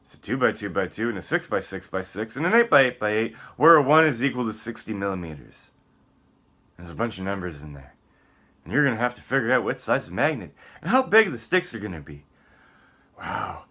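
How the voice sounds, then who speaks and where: Low, sharp-voiced man ranting. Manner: profane, combative; abrupt swings between muttering and shouting. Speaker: vlogger. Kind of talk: rambling solo rant.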